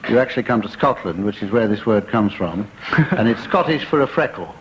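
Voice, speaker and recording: A man's voice: on the low side, animated, brisk. A man hosting a comedy panel game on radio, wordy and mock-formal in his delivery. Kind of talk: dry comic hosting of a radio panel show. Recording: noisy old live radio recording.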